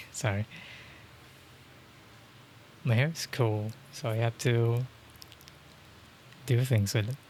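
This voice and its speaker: A man's voice: medium pitch, steady tone, slow, long pauses, darkish tone, slight background noise. A young man chatting casually on a game livestream, rambling with lots of fillers and frequent chuckles, into a dynamic mic at home. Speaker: streamer. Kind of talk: casual livestream chat while gaming. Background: game music.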